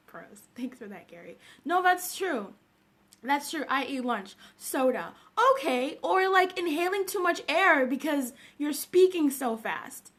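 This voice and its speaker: A woman's voice: sits high, expressive, slow pace, a bit bright. A woman from Zambia chatting casually on a livestream, greeting viewers by name and laughing often. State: just woke up. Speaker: livestream host. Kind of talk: casual live-stream chat with viewers.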